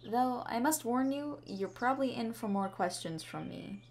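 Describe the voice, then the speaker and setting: A woman's voice: fairly high pitch, animated, normal speed, a little mellow. A woman playing a shy, sweet schoolgirl with a crush in an ASMR roleplay, speaking in a bashful, hesitant, rambling way. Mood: shy, hopeful. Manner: bashful, hesitant, chatty, giggly. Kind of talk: ASMR roleplay, scripted to the listener. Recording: clearly audible background ambience noise.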